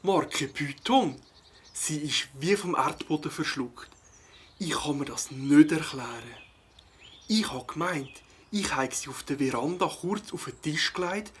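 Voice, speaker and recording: A man playing a mild, puzzled bird-watcher in a children's audio play, with a husky voice, a fussy, deliberate manner and a Swiss German accent. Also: fairly high pitch, a little hiss or hum. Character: mild, puzzled male bird-watcher, absorbed in his hobby.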